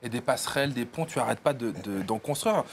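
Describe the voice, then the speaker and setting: A young man co-hosting a TV music show, playful and quick to laugh while he asks questions. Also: mid-range voice, expressive, a little hiss or hum. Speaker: TV co-host. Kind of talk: presenting and interviewing. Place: TV studio set.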